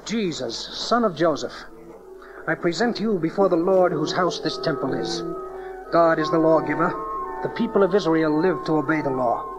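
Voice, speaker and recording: An actor playing an older temple priest in a dramatized audio Bible, musing and cynical yet formal and ceremonial. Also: male voice, fairly high pitch, lively intonation, normal speed, muffled recording, music in the background, natural voice.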